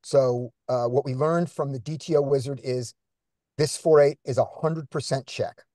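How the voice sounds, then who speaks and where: A man's voice: mid-range voice, fairly even intonation, normal speed, warm tone, no room sound. A man coaching a group through poker hands on a video call, thinking aloud and quizzing his students. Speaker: poker coach leading a study session.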